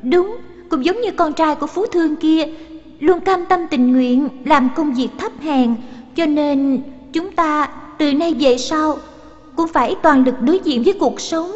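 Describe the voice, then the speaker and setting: Devout young-sounding traveler woman, animated film: high, earnest, muffled. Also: a little slow. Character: devout earnest female wanderer. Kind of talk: dubbed animation acting. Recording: muffled audio with long reverb.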